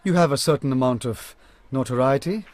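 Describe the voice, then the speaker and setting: An actor playing a shrewd private detective in a radio drama, composed, dryly polite and measured, with an Indian accent. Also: male voice, medium pitch, a little mellow, close to an everyday voice. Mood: guarded, dry.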